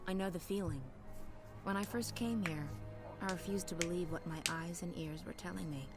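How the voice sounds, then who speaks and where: A woman's voice: fairly low pitch, normal speed, lightly acted. A woman playing a jaded young resistance leader in a video game, curt, guarded and commanding. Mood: knowing, brisk. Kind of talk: video game cutscene acting. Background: background music.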